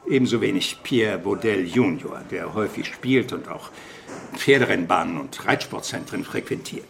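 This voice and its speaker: An actor playing a worried, meticulous male police commander, a loyal deputy explaining things deliberately and hesitantly in a crime radio drama. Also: low-pitched, animated, vocal fry, a little hiss or hum. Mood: dry, pedantic.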